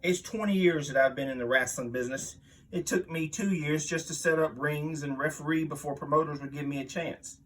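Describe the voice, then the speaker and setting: A man's voice: fairly high pitch, brisk, a bit bright, distant mic, lightly acted, rough recording. A man playing a boastful, mean veteran wrestling villain, blustering and aggrieved as if giving an interview. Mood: world-weary. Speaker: reader voicing an interviewed wrestler. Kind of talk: dramatic magazine interview reading, wrestling promo.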